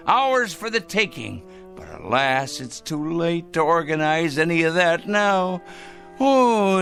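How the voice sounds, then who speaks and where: A paranoid crank in a radio drama, gloating and rambling to himself with theatrical relish. His bright, forceful voice swings wildly in pitch over a light music bed. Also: heavily acted. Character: gleeful paranoid male crank plotting a moral purge.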